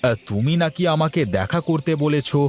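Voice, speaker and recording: A man's voice: a little high, expressive, brisk, a little hiss or hum, close to an everyday voice. A man voicing an obliging, easygoing village uncle in a cartoon fairy tale. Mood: reassuring.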